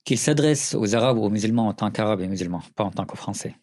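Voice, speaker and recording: A middle-aged man from Syria with a Syrian accent, answering interview questions earnestly, often pausing and restarting. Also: on the low side, lively intonation, a little mellow, no room sound, studio quality. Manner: earnest, with frequent hesitations and restarts. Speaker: writer and journalist, interview guest.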